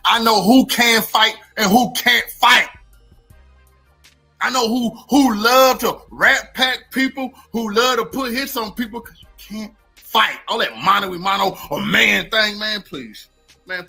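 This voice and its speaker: A man's voice: high voice, expressive, a little slow, vocal fry, pushed and bright, husky, faint music behind. A man giving opinionated livestream commentary in street slang, emphatic and repeating himself for effect.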